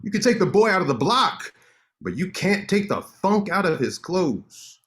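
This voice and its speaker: An actor playing a cocky, sharp-tongued male lawyer in a staged play reading, teasing his friend with quick banter. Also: sits high, big pitch swings.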